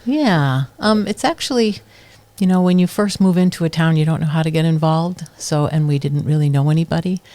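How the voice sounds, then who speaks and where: A woman's voice: sits low, fairly quick, dark tone. A middle-aged woman from New Hampshire, a committee chair, answering interview questions casually and thoughtfully, with many ums and restarts.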